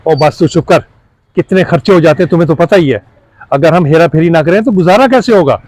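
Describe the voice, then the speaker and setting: A man playing a crooked, glib motorbike mechanic and shop boss in an acted moral-story skit, smooth-talking and bossy. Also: on the high side, brisk, darkish tone, dry recording, natural voice.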